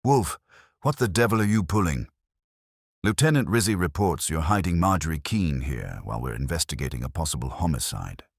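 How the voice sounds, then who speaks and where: A man's voice: a bass voice, very animated, a little fast, creaky, breathy edge, dry recording, clean studio sound, heavily acted. A man playing an irate, impatient police inspector in a detective story, blustering and accusatory. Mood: indignant.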